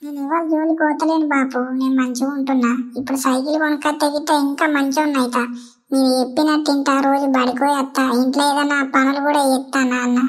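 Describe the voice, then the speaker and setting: A woman's voice: high voice, little pitch movement, fast talker, lightly acted. A woman voicing a stubborn, whiny young schoolboy in a comedy cartoon, pleading dramatically in Telangana Telugu. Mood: happy, eager.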